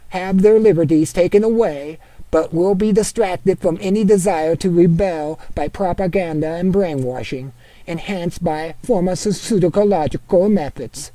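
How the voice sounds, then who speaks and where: A man reading an article aloud in a put-on Norwegian accent, steady and deliberate. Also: high-pitched, no echo, lightly acted.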